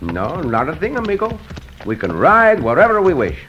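An actor playing a dashing, teasing Mexican cowboy hero with a Mexican accent in an old-time radio western. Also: male voice, a little high, huge pitch swings, moderate pace, music in the background. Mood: relaxed, amused. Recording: noisy vintage radio recording.

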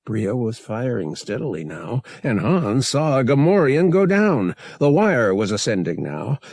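A man narrates an adventure story in a dramatic, deliberate audiobook style. His pitch moves widely and his pace is unhurried. The voice has a gravelly creak to it. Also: very animated, darkish tone.

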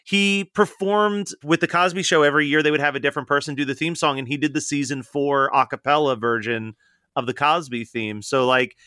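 A man's voice: a little high, fairly even intonation, a little fast, bright, forceful tone, no room sound, studio quality. A man co-hosting a casual podcast, relaying researched facts about a song and its artist. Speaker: podcast co-host. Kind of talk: casual chat.

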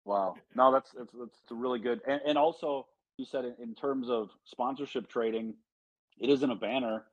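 Husky man on a dry, dull line. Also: mid-range voice, no room sound. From Illinois. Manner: thoughtful, halting, restarts often. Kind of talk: casual interview talk.

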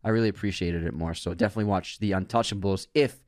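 Low-voiced man, casual podcast co-host. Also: fast talker, darkish tone, dry recording, clean studio sound. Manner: enthusiastic, rambling film talk. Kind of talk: casual chat.

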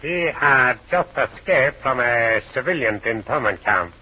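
An actor playing a dignified, courteous Chinese army colonel, formal and slightly hesitant, in a 1940s radio adventure. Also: male voice, mid-range voice, lively intonation, unhurried, bright, forceful tone, husky, full character voice. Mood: measured. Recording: muffled old radio recording.

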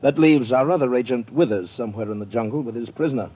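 An actor playing a brisk British colonial police lieutenant with a stiff upper lip in a radio drama. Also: male voice, mid-range voice, breathy edge, natural voice. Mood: brisk briefing. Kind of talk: old-time radio drama acting. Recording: dull old radio recording.

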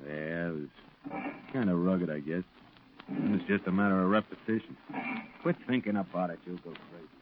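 An actor playing a tough, world-weary American Marine sergeant, terse and slangy, in 1940s radio style. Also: male voice, deep voice, moderate pace, natural voice. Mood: weary, hardened. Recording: very noisy, tinny old radio broadcast.

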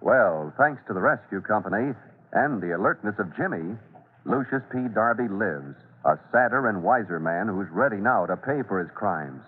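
A man's voice: lowish voice, expressive, moderate pace, husky. A man narrating as the announcer of a dramatic old-time radio serial. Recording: tinny old radio recording.

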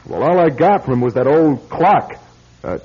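An actor playing an irritable, cynical, self-pitying salesman in a radio drama, gruff, sarcastic and always complaining. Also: a little high, animated, normal speed, a little mellow, lightly acted. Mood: grumbling. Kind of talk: classic radio drama acting. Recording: dull radio sound, long reverb.

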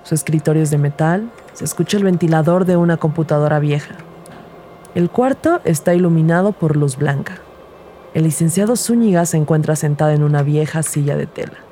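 A woman's voice: deep voice, brisk, mellow, slight background noise, close to an everyday voice. A woman playing an earnest rookie detective who narrates her case in a scripted audio drama. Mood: measured, narrating. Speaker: narrator and lead character.

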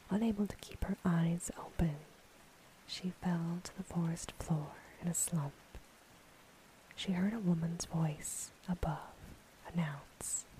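Slow whispering woman reading a story. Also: sits low, slight background noise, rough recording. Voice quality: breathy, unvoiced whisper. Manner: soft, slow, gentle delivery. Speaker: bedtime story narrator.

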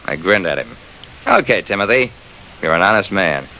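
A man's voice: deep voice, sing-song, normal speed, pushed and bright, full character voice, low-quality recording. A man playing a terse, wry private detective who narrates his own case in an old-time radio drama. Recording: vintage radio recording.

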